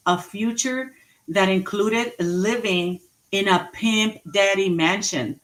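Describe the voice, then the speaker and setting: A woman in her forties giving an inspirational talk, slow and emphatic, repeating key phrases and laughing at her own jokes. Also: mid-range voice, a bit bright. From Mexican heritage, raised in the US. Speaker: motivational speaker. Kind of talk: inspirational speech.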